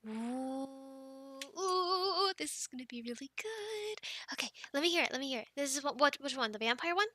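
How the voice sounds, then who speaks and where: A woman's voice: sits high, expressive, moderate pace, sharp tone. A young woman performing an ASMR roleplay in a playful, girlish manner, close to the microphone. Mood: playful chat. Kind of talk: ASMR roleplay, whispered storytelling and casual chat.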